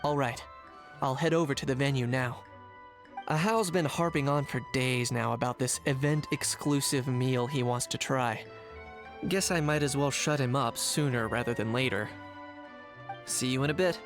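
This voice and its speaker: A man's voice: medium pitch, moderate pace, natural voice. A man voicing a young, pragmatic investigator in a video game, dry and matter-of-fact. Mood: dry, resigned. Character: cool, pragmatic young male investigator, dryly understated. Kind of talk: video game dialogue acting. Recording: game music behind the voice. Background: background music.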